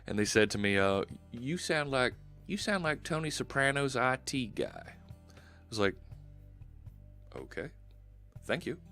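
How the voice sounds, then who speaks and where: A man's voice: mid-range voice, big pitch swings, measured pace, vocal fry, long pauses, pushed and bright, soft background music. A man in his forties with a Philadelphia accent, hosting a casual coding live stream through a headset and thinking aloud with lots of fillers. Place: home studio, indoors.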